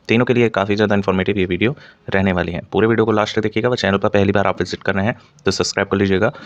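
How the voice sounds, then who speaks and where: A man's voice: fairly low pitch, highs cut off. A man explaining exam information to students as a channel host, in an explanatory way with repeated stock phrases.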